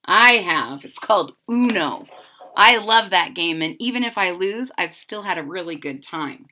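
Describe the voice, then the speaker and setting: A woman reading a children's picture book aloud in an expressive, sing-song manner, speaking directly to young listeners. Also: on the low side, normal speed.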